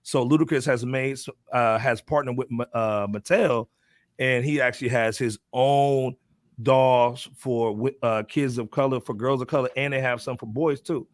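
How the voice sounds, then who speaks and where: A man's voice: medium pitch, steady tone, normal speed, dry recording, clean studio sound. A man hosting a hip hop podcast in a casual, slangy, informal way, often punctuating his lines with "man". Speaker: podcast host.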